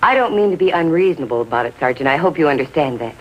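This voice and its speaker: A woman's voice: low voice, lively intonation, racing, muffled recording. A woman playing a middle-aged American mother in a 1950s TV drama, genteel, earnest and insistently defensive. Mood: politely defensive. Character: defensive, trusting, genteel mother of a teenage boy.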